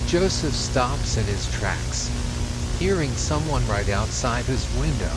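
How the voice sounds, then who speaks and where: A man's voice: mid-range voice, lively intonation, takes it slowly, low-quality recording. A man narrating a scripted horror tale in storybook style. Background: music.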